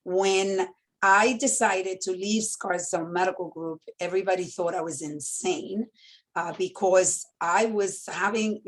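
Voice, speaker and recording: Woman in her fifties telling her story. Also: mid-range voice. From Dominican Republic. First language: Spanish. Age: 50s. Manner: open, animated storyteller with frequent fillers. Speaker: entrepreneur guest speaker. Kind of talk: fireside chat storytelling.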